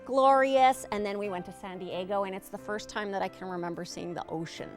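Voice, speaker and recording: A woman's voice: mid-range voice, brisk, over music. A woman from Canada telling personal stories casually on a panel show. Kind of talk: casual chat, personal storytelling.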